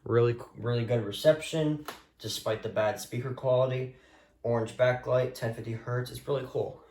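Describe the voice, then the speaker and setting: Guy doing a rambly hobby show and tell, kinda hesitant, mumbles to himself, normal pitch. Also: fairly even intonation, moderate pace, darkish tone. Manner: hesitant, offhand, mutters to himself. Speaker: hobbyist showing a radio collection.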